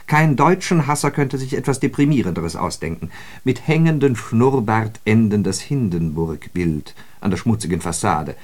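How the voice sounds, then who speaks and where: An actor playing a sardonic, disillusioned socialist who observes city life in a radio drama, with ironic, declamatory and emphatic phrasing. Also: male voice, fairly low pitch, expressive, moderate pace, darkish tone. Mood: biting, mocking. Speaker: radio play speaker. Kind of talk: literary German radio drama monologue.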